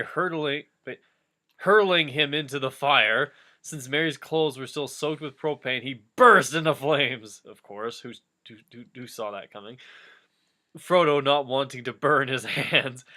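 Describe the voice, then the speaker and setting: A man's voice: mid-range voice, pushed and bright. A young adult man reading a story casually for comedy, breaking in with wry asides. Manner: wry, interjecting. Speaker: co-reader and wisecracking commentator.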